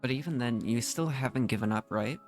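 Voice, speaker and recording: A streamer playing through a game, reading character dialogue aloud and reacting to it. The voice sits at a middle pitch, with faint game music underneath. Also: fairly quick, a little mellow.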